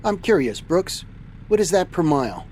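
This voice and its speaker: A man's voice: a little high, big pitch swings, normal speed, darkish tone, slight background noise. A man playing an easygoing, enthusiastic trucker captain who hosts a semi-scripted radio-serial roleplay. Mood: upbeat, easygoing. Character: upbeat male space-cadet style trucker captain. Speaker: host.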